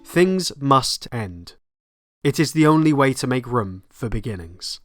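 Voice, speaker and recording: A man narrates a scripted video essay in a measured, reflective tone. His mid-pitched voice is close and dry, with faint music underneath. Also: sing-song, dry recording. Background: background music.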